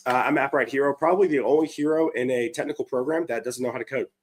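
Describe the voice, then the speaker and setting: A hoarse man, talking upbeat and chatty on his live stream, full of ums and restarts. He sounds like he's on a desk mic over a video call. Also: medium pitch, fast. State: hoarse from a cold. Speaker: livestream show host. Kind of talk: casual live-stream chat.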